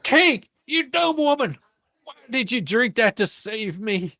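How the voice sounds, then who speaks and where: A man voicing an excitable, brash young boy in a storybook, with folksy, ungrammatical, exclamatory speech. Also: very high-pitched, unhurried, no room sound, voice pushed up, exaggerated voice. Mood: indignant.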